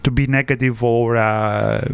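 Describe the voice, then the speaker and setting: Guest spot: man in his 50s, from Egypt, Greek-native, halting speech, smooth clear voice, dry studio. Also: mid-range voice, steady tone, no echo. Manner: halting, frequent "uh" fillers, non-native grammar. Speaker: guest, wealth adviser. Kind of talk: podcast interview.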